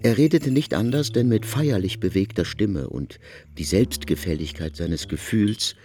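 A man's voice: fairly low pitch, moderate pace, mellow, faint music behind, close to an everyday voice. A man narrating a literary radio drama as a stately, wry storyteller, articulate in his delivery.

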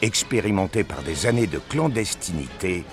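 A man narrating a scripted science-fiction audio story in a deliberate, dramatic storytelling manner. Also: deep voice, lively intonation, over music.

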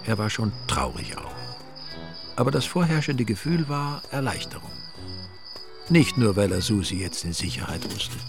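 Gravelly, lowish Austrian male narrator. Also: big pitch swings, normal speed, music in the background. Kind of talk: wry colloquial radio drama narration.

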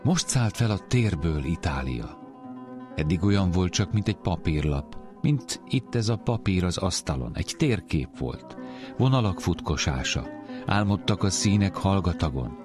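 A man's voice: low-pitched, expressive. A man reciting a literary poem as a reader. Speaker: poetry reader. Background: soft plucked guitar music.

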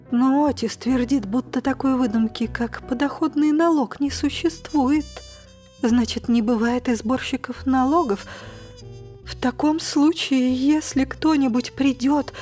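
A woman plays a worried aunt in an audio play. Her voice is high and dramatic, full of anxious lament. Faint music sits behind her. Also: a little mellow, close to an everyday voice. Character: fretful, anxious aunt worrying over her eccentric relative.

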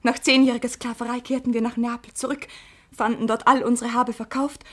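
Woman acting young daughter, rather high voice, breathy, earnest, emotional, classic radio drama. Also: level tone, a little fast, natural voice. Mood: moved, wondering. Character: earnest, gentle young long-lost daughter.

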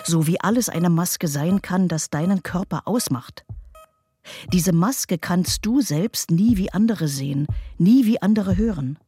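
Woman for poetic narration, unhurried and mellow, faint music behind. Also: lowish voice, breathy edge.

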